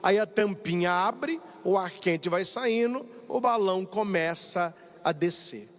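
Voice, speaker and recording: High-voiced priest in a reverberant sanctuary. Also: male voice, a little slow. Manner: animated storytelling, acts out dialogue. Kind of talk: live-broadcast sermon with announcements. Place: large church sanctuary. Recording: long church reverb.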